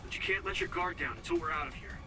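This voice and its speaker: An actor playing a cautious, resolute young squad fighter in an English video game dub. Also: male voice, sits high, fast, over music, natural voice. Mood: guarded, resolute.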